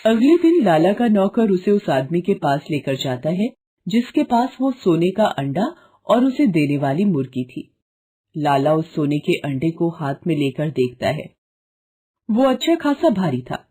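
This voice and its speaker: Low, husky woman narrating children's stories. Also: very animated, a little slow, dark tone. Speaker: storyteller narrator. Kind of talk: scripted children's story narration.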